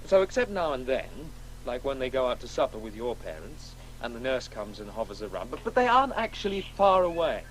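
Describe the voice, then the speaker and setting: An actor playing a precocious schoolboy in a radio play, earnest, confident and articulate. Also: male voice, mid-range voice, sing-song, fairly quick, few pauses, slight background noise, lightly acted, poor audio. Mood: confident, insistent. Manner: articulate, insistent, eager. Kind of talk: naturalistic radio play dialogue.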